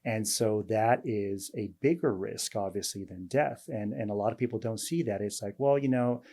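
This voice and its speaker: A man with a fairly low, mellow voice hosts a casual interview as a doctor. He talks in a halting way, full of fillers and restarts, but sounds friendly and easy. His tone stays dark and relaxed. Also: breathy edge. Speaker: host, weight loss doctor.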